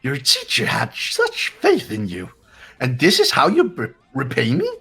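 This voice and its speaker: A man voicing a sinister robotic bear mascot in a fan-voiced game, melodramatic and mock-sweet with drawn-out phrasing. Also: mid-range voice, wildly swooping pitch, vocal fry, pushed and bright, husky, faint music behind, full character voice. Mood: aggrieved, angry. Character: sinister theatrical robotic bear mascot, male, gleefully cruel.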